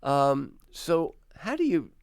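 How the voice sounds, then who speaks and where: A man's voice: fairly high pitch, very animated, slow pace, a little mellow, smooth, no echo. An older man hosting a radio interview in a studio, asking curious questions. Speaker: radio host. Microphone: studio mic with headphones.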